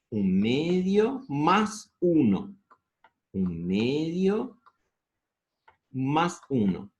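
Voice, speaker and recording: Slow, lively-pitched Spanish math teacher. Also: male voice. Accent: Paraguayan Spanish. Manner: asks the class questions and answers them, slows down over formulas. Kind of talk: step-by-step classroom lecture on integrals.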